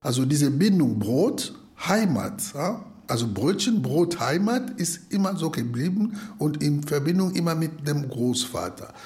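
A non-native German speaker, a man with a foreign accent, retelling and reflecting in a halting, searching way. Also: a little high, big pitch swings, darkish tone. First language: not German. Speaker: asylum seeker retelling a story.

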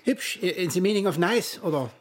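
A flustered opera composer in a radio play, trying to placate a star. He stammers and slips between languages. His pitch swings up and down in a lively way. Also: a little fast, breathy edge. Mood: anxious, placating. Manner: stammering, switching between German and English mid-sentence. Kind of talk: radio drama dialogue.

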